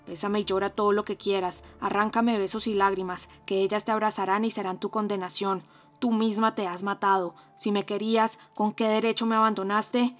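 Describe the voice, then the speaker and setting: A bitter young lover in a storybook reading, harsh and loud with accusation, as a woman narrator puts him on. His voice sits mid-pitch with little rise and fall, and soft music lingers underneath. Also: close to an everyday voice. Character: fierce anguished young man, bitter lover.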